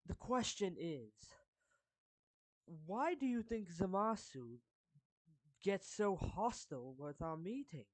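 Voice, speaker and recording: Casting: performer as a suave anime villain turned protective friend, formal and deliberate, slow delivery, mid pitch, dry close recording. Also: very animated, no room sound, rough recording. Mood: reassuring, curious. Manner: formal, measured, deliberate, pausing mid-phrase. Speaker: character voice actor. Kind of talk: anime fan roleplay monologue addressed to the listener.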